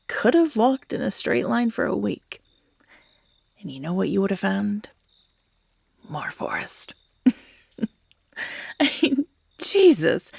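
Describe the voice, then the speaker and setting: Woman doing a crazy obsessive girlfriend captor for audio rp, slow n creaky, talks down to you, exasperated sighs. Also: mid-range voice, a little mellow, dry recording, studio quality, full character voice. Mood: scolding, exasperated. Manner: condescending, exasperated, breathy sighs and half-whispers. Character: obsessive, manipulative female kidnapper scolding her captive lover. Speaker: audio roleplay performer. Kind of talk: scripted yandere horror audio roleplay, intimate monologue.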